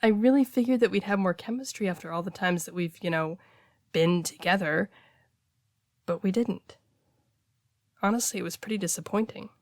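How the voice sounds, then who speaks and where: A woman playing a casual, blunt heartbreaker friend in an intimate audio roleplay, talking conversationally with hesitant, expressive delivery. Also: medium pitch, normal speed, long pauses, dry recording, studio quality, natural voice. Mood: deflated, wry. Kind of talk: intimate one-sided audio roleplay, naturalistic dialogue.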